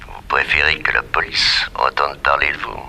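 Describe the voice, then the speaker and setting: Deep-voiced, menacing blackmailer. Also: male voice, a little slow, gravelly, a little hiss or hum, full character voice, poor audio. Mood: cold, threatening. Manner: calm, coercive, sardonic. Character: menacing male blackmailer, smooth crook in haute couture. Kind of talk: early 1960s French radio crime drama acting.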